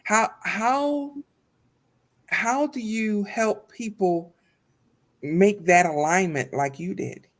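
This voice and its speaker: A woman's voice: mid-range voice, slow, long pauses. A woman hosting a podcast interview, speaking with enthusiastic, affirming encouragement toward her guest. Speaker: podcast host and educator.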